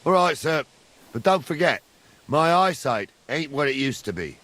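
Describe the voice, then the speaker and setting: An actor playing a weary, gruff ageing medieval soldier with a gravelly voice, grumbling but deferential, in a video game. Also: male voice, a little high, sing-song, normal speed, a bit bright, dry recording. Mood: puzzled, grumbling. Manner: plain-spoken, deferential grumbling.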